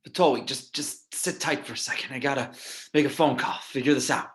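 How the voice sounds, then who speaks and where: A man acting a sickly crime boss, worn out and irritable. His mid-pitched voice reads the lines as table read drama. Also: moderate pace, lightly acted. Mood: weary, irritated. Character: weary, sickly male criminal boss in pain.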